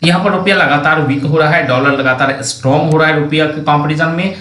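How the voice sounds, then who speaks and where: Guy walking thru stock charts, bit high voice, roomy echo, keeps restarting. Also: fairly even intonation, pushed and bright. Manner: explanatory, restarts and self-corrections, drawn-out words. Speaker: stock market analyst. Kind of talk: screen-share chart analysis. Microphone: voice-over with screen recording.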